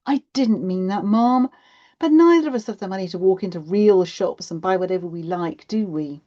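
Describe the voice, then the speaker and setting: Woman doing a frustrated young teen schoolgirl, complaining, sulky, children's audiobook, mellow timbre. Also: medium pitch, moderate pace, lo-fi sound, lightly acted. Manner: complaining, indignant. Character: aggrieved thirteen-year-old schoolgirl, sulky and frustrated. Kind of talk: acted children's audiobook dialogue.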